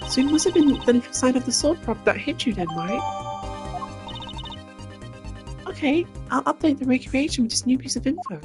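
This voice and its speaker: A man voicing a chirpy, eager young woman investigator, with bouncy, excited exclamations. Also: very high-pitched, moderate pace, pauses often, voice pushed up. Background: game music.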